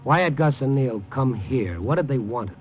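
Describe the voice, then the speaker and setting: A muffled 1950s radio drama recording with faint music. A man acts a tough, wisecracking newsman with a middling pitch, sardonic and slangy. He delivers clipped noir narration and probing questions. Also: expressive, normal speed, natural voice. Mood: wry narration. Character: wisecracking, hard-boiled male reporter, adult, tough and probing.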